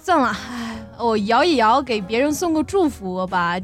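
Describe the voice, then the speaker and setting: A woman co-hosting a radio show with casual comic banter, self-mocking, animated and giggly. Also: fairly high pitch, normal speed. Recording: music bed under the talk.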